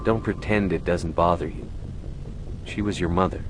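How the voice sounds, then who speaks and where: Man acting human commander, video game hero, deep pitch, steady, direct, measured, music behind. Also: natural voice. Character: stoic human military commander, steady and reassuring.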